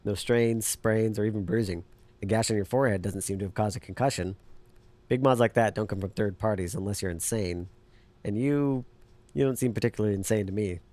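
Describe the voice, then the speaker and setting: Low voiced skeptical guy man acting, blunt dry underground tech boss in an audio drama, terse and probing. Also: fairly quick, a little mellow, natural voice. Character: wary, blunt male underground tech leader, dryly skeptical.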